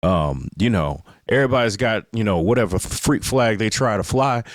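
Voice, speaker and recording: A man's voice: fairly low pitch, lively intonation, normal speed, no room sound, studio quality. A man co-hosting a podcast in casual chat, rambling with many fillers and acting out little quotes. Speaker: podcast co-host.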